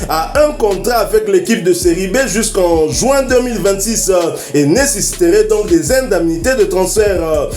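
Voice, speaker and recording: High-voiced man reading football news. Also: animated, normal speed, few pauses, darkish tone, roomy sound, soft background music. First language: not English. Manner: sing-song reading cadence with fillers. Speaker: sports news presenter. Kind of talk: read football news bulletin.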